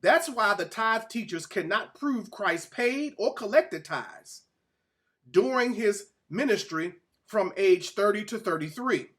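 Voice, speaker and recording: A man with a notably high voice presents a religious teaching talk. He moves between reading excerpts and explaining them directly to his audience. His delivery is emphatic and didactic, like an author walking listeners through his own argument. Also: expressive, slow pace, a bit bright.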